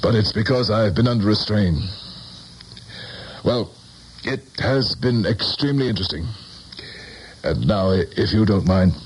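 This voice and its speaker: An actor playing a proud, cynical middle-aged politician in a 1970s radio drama, speaking in a measured, self-assured way. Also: male voice, lowish voice, husky. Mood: wry, dismissive. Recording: noisy 1970s radio broadcast tape.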